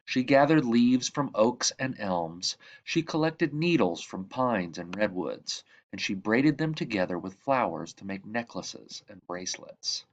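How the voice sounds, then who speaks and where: A man reading a children's picture book aloud in measured phrases that drop softly at the ends of sentences. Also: fairly low pitch, sing-song, dull audio. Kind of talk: read-aloud storybook narration.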